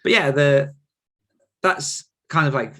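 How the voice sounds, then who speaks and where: A man's voice: on the high side, slow pace, sharp tone. A man speaking as a podcast guest, giving reflective, explanatory answers in a conversational interview style. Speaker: podcast guest, author and writing coach.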